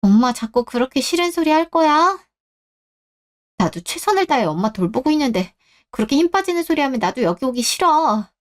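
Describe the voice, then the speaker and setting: A woman's voice: sits high, very animated, fairly quick, voice pushed up. A woman voicing a complaining, self-pitying grown daughter in a radio drama. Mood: petulant. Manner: complaining, petulant.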